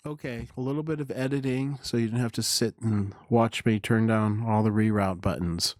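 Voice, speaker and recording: A man narrating a hands-on synthesizer tutorial in a halting, deliberate way, explaining as he goes. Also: on the low side, fairly even intonation, no echo, studio quality. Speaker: tutorial presenter.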